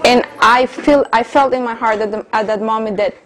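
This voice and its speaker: A woman of around thirty from Colombia, speaking English, earnestly telling her personal story as an interviewee with soft hesitations. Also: on the high side, fairly even intonation, normal speed. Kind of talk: personal testimony in conversation.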